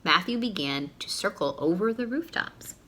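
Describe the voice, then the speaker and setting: Lady doing story time for kids, bright voice, animated, kinda teachery. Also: mid-range voice, normal speed, a little hiss or hum. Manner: animated, teacherly, addressing young listeners. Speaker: storyteller reading a picture book for children. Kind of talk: expressive children's read-aloud.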